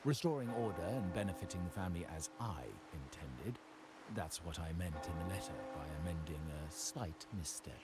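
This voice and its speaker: A man playing a stern, proud heir of a powerful crime family in a video game, speaking formally and with measured authority. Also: low-pitched, expressive, over loud music. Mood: firm, proud. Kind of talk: dramatic video game dialogue.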